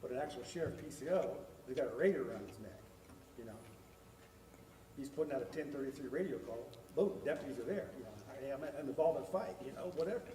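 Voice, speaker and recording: A man talks very fast in a mellow, darker voice, blunt and full of "you know". He is distant from the mic in an echoey hall. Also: medium pitch, lively intonation, slight background noise. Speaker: resident at a community meeting. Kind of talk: public meeting Q&A. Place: school meeting hall.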